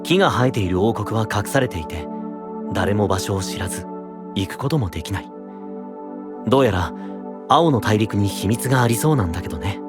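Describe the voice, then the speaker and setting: An actor playing a calm, gentle, secretive young knight, speaking in a soft, measured, reflective way in an anime-style audio drama. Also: male voice, fairly low pitch, over music, natural voice. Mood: serious, pensive.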